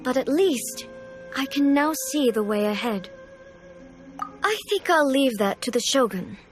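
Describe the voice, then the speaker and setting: A woman playing a stern, dignified immortal ruler in a video game, speaking in a formal, measured, composed way. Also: high voice.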